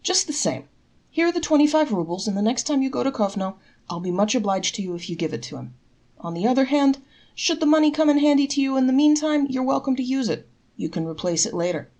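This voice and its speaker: Woman playing kindly old charity man, gentle, coaxing, gently sly, earnest, folktale storybook. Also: sits high, big pitch swings, fast, voice pushed up, exaggerated voice. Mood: coaxing, mild. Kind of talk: storybook character voice in a read-aloud folktale.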